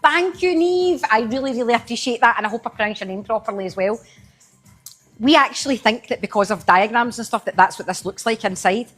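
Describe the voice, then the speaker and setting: Chatty Glaswegian woman, breathy voice. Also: a little high, a little fast, fairly bright tone, faint music behind. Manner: chatty, answers viewers by name while demoing. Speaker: live-selling host. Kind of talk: casual live-stream sales demo.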